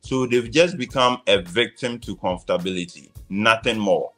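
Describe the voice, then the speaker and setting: Low-voiced Ghanaian man sharing opinions. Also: moderate pace, pushed and bright, soft background music. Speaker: interview guest.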